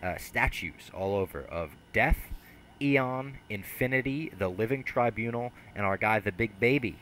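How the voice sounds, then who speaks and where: Young podcast host, low bright voice, laughs and swears easily, headset mic. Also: male voice, moderate pace, slight background noise. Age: young adult. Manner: laughs often, swears casually. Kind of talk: casual podcast chat. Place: small room with brick wall. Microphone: headset mic on video call.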